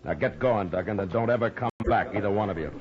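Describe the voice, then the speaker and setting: An American man playing a steady, tough frontier marshal in a 1950s radio western, terse, commanding and understated. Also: on the low side, fast talker, natural voice. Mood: stern, commanding. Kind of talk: 1950s radio western acting, with first-person narration. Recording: dull vintage radio recording with music.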